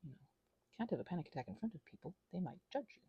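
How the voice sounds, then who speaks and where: A woman in her thirties giving a rambling, confessional ASMR monologue in a halting, earnest, self-deprecating way, with a husky, creaky voice. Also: lowish voice, sing-song, dry recording, dull audio. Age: 30s. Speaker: ASMR channel creator.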